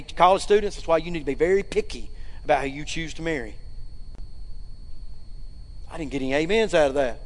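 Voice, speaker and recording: A man preaching a sermon in a church, with emphatic swells and asides to the congregation. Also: fairly high pitch. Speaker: pastor. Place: church sanctuary. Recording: hall reverb.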